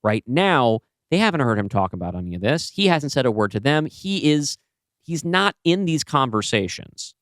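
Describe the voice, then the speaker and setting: A man hosts a casual discussion show in an animated, blunt way. His voice sits at an average pitch and he swears freely. The sound is close and dry. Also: normal speed, no echo. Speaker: podcast host discussing an audio play.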